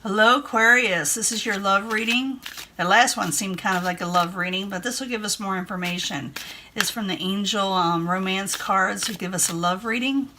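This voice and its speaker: A woman talks through a tarot reading in a chatty, reassuring way and keeps repeating her points. Her voice is strained because she is losing it, and it sits at an ordinary middle pitch for a woman. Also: normal speed, noisy background. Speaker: tarot reader. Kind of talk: casual tarot reading to camera.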